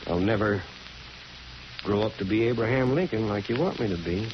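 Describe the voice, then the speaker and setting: Man acting a troubled mayor, low and muffled. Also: moderate pace, close to an everyday voice. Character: troubled small-town mayor talking with his wife. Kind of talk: 1940s radio drama acting. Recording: noisy muffled 1941 radio recording.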